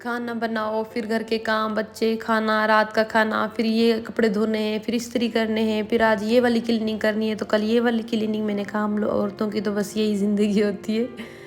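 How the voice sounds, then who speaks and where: Hoarse chatty Pakistani housewife vlogger. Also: female voice, on the high side, monotone, normal speed, faint music behind. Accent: Pakistani Urdu. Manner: chatty, rambling, frequent fillers. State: hoarse with a cold. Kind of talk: casual home vlog chat. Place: at home, indoors.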